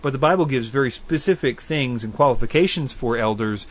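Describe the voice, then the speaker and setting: A man hosts a faith-based call-in style radio program and works through listener questions in a relaxed teaching voice. He is earnest and thoughtful. He weighs his points as he goes and lays them out plainly. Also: medium pitch. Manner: earnest and thoughtful, spells out addresses slowly. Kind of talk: casual explanatory Bible teaching.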